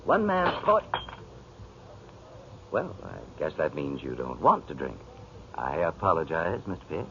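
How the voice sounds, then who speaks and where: Slick sarcastic playboy, lively pitch, old muffled radio play. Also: male voice, a little slow, full character voice. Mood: needling. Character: smooth, sardonic society playboy. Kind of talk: 1940s radio acting. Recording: muffled, noisy 1940s radio broadcast.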